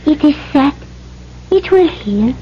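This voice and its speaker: A devoted young island wife speaks in a very high, slow voice. Her English is halting, simple pidgin, played in vintage radio drama style. The old recording is dull with faint noise. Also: lively intonation. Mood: solemn, tender.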